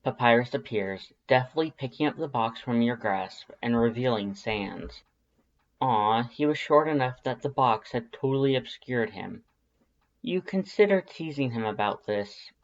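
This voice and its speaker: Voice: mid-range voice, animated, unhurried. A narrator reading scripted second-person fan fiction as an audiobook. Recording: slightly dull, band-limited audio.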